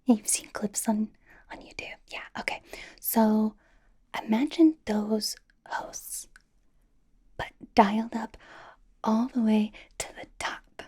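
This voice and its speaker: ASMR roleplay: young female K-pop idol acted by a woman, American accent, whispery and slightly high, bubbly, anxious, chatty and reassuring. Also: takes it slowly, pauses often. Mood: nervously reassuring. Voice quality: breathy, soft whisper. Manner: chatty, rambling, hesitant, reassuring. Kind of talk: ASMR personal attention roleplay.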